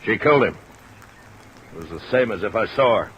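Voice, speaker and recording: Hostile rancher played by a man under steady rain. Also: on the low side, brisk, highs cut off, lightly acted. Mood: angry, threatening. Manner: curt, menacing, stubborn. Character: hostile, hot-tempered rancher with a rough reputation. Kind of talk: old-time radio drama acting. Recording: old radio recording under rain noise.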